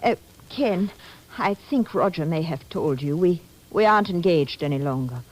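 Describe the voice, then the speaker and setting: A woman's voice: on the low side, lively intonation, normal speed, poor audio. An actress playing an earnest young woman confiding hesitantly in a 1940s radio drama. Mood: relieved, confiding.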